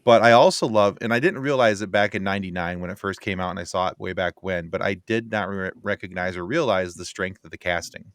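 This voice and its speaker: Low-voiced man in a dry-sounding room. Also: fairly even intonation, a little fast, few pauses, a bit bright, dry recording. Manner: rambling, repeats phrases as he builds a point. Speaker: podcast panelist. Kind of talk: casual film chat.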